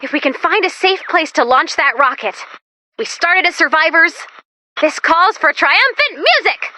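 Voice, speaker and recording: A woman's voice: very high voice, expressive, moderate pace, bright, forceful tone, no room sound, heavily acted. A woman voicing an upbeat, quirky female robot assistant who guides the commander in a video game, with a chatty, theatrical, comic manner. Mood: upbeat, eager.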